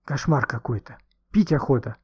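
A man's voice: medium pitch, moderate pace, mellow, husky, lo-fi sound. A man voicing a sceptical, impatient boy in an audiobook, blunt and colloquial.

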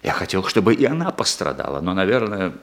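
A man's voice: deep voice, sing-song, fast talker, roomy sound. An actor in a radio play voicing an embittered, lovesick murderer, a man speaking with dramatic, anguished intensity. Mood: bitter, broken. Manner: dramatic, impassioned, anguished delivery. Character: embittered male actor, obsessive lovesick murderer confessing his revenge. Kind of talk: theatrical radio play monologue.

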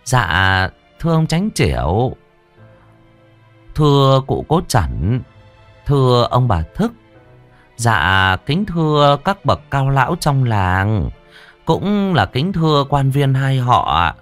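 A man's voice: mid-range voice, big pitch swings, slow pace, soft background music, pitched above the performer's own voice. A man voicing a sweet-voiced older woman matchmaker who speaks formally and deferentially.

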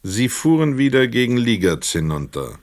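A man with a Swiss German accent narrating a radio play in a measured, sober way. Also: medium pitch, sing-song.

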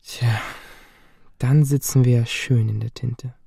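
A young male actor in a radio play playing a cheeky, quick-witted teenage boy with a teasing, questioning manner. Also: medium pitch, fairly even intonation, creaky, warm tone, slightly rough, no echo, studio quality, heavily acted. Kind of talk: German radio play acting.

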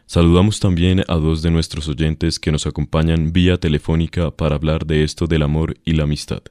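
A self-satisfied Colombian radio host, acted by a man speaks very low, with formal, pompous announcer phrasing. The satirical show sounds dry and close. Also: level tone, a little fast, dark tone, no room sound. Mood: smooth, controlling. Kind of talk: satirical radio play acting.

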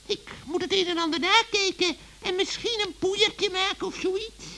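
A scheming old witch woman in a children's radio play. The voice is extremely high and piercingly bright, full of creak, and she talks in a bossy, impatient way. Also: normal speed, full character voice. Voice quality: shrill, creaky cackle.

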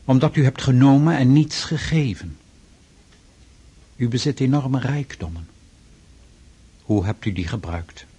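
An actor playing a stern, pious Russian freemason in a radio drama, speaking formally and insistently with a husky voice. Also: male voice, mid-range voice, mellow. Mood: stern, reproachful. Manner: formal, measured, preaching, insistent.

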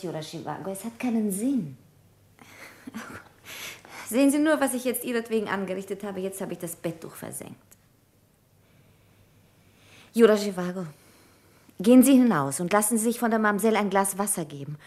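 An actress in a German radio play playing a sorrowful, composed nurse with a hushed, restrained delivery. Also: female voice, mid-range voice, close to an everyday voice. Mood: troubled, firm. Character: sorrowful, composed female nurse, quietly resolute, married.

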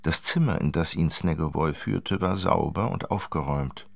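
Very deep, gravelly male narrator. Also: normal speed. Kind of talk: dramatic literary narration for a radio play.

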